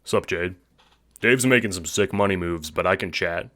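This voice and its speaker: A man playing a laid-back, slangy teenage boy in an audio drama, talking in a terse, deadpan, casual way. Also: low voice, normal speed, no room sound. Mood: cool, matter-of-fact.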